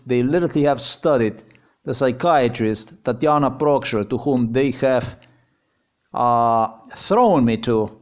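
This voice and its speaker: A man with a Slovenian accent, a native Slovenian speaker, giving a heated personal account in English, repeating himself and swearing as he talks. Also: medium pitch. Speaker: vlogger.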